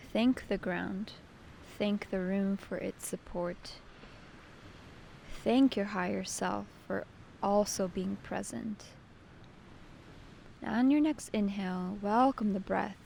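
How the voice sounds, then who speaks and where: A woman leading a guided meditation with gentle, soothing, unhurried instruction. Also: medium pitch, fairly even intonation, gravelly, a little hiss or hum.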